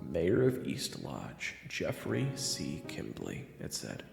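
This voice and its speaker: A man's voice: low-pitched, wildly swooping pitch, takes it slowly, gravelly. A man narrating a scripted story in the second person, in a reverberant recording. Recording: reverberant, echoey voice over music.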